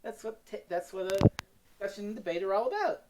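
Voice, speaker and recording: A woman reviewer retelling a story in casual, animated, sweary chat. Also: mid-range voice, quick pace, very noisy. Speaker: podcast reviewer.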